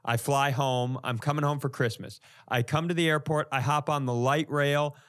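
A man's voice: on the high side, steady tone, normal speed, fairly bright tone, clear, resonant tone. An American man, a comedian and podcast co-host, telling stories casually and profanely, acting out reactions as he goes.

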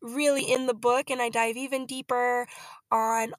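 High-voiced upbeat woman guest. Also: little pitch movement, moderate pace, dry recording. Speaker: podcast guest, company founder and author. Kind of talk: casual interview.

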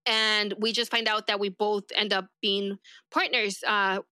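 A woman's voice: medium pitch, level tone, a little slow, pushed and bright, clear, resonant tone, no echo, studio quality. A woman whose first language is Spanish, telling her story casually as a police deputy guest on a podcast.

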